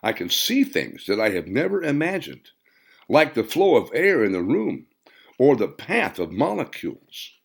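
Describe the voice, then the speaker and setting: A man voicing an earnest, wondering woman in an audiobook, speaking deliberately. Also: mid-range voice, wildly swooping pitch, creaky, slightly rough, full character voice. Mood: awed. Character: earnest woman sensing strange new powers. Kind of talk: audiobook character dialogue.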